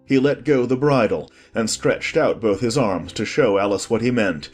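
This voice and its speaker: A man's voice: mid-range voice, moderate pace, husky, soft background music. A man reading scripted storybook narration as the narrator of an audiobook.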